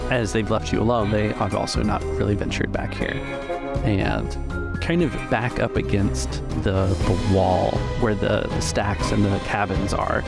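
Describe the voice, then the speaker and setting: A man's voice: lowish voice, vocal fry. A man acting as game master, improvising the narration of a tabletop roleplaying game. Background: music.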